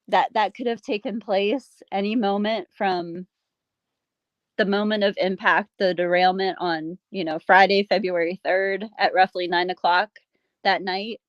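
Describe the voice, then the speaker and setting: A middle-aged woman from Pennsylvania, a local resident speaking as a guest in a casual conversation, halting and emotional with soft sighs. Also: mid-range voice, no echo, lo-fi sound. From Pennsylvania, USA.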